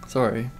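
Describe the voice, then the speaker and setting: A hoarse man chatting through a gameplay stream, with a gravelly fry in his voice. His tone is dark and low-key, and he rambles, often trailing off mid-thought. Faint game music runs in the background. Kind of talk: casual gameplay commentary.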